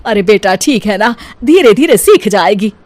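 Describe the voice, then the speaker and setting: A woman's voice: medium pitch, animated, moderate pace, darkish tone, lightly acted. A woman voicing a proud middle-aged mother-in-law in a cartoon story, motherly but chiding and emphatic. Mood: soothing. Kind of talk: cartoon story character acting.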